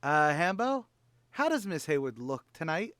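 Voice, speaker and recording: Man acting a high-pitched, blustery tavern bluffer. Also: big pitch swings, moderate pace, a bit bright, no echo. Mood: showing off. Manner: boastful, stammering, ungrammatical. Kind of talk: 1940s radio comedy acting.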